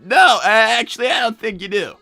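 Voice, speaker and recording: A man's voice: high voice, expressive, fairly quick, sharp tone, dry recording, soft background music, heavily acted. A man voicing an earnest young male cartoon fox in improvised livestream comedy, stammering and protesting. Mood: indignant.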